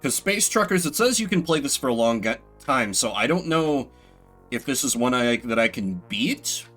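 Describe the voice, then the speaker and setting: A man with a bright, forward voice and very animated pitch swings commentates on a game as he plays. He reads on-screen text theatrically and drifts into sardonic, rambling asides, with faint music underneath. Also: normal speed. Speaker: game streamer. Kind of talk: solo-voiced let's play commentary, reading game text aloud dramatically.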